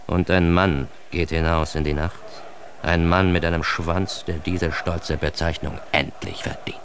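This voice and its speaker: Radio drama lead: cocky, self-mocking East Berliner, very low voice, wry confessional monologue, some background noise. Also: male voice, creaky, full character voice. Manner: ironic, mock-grand, addressing the listener.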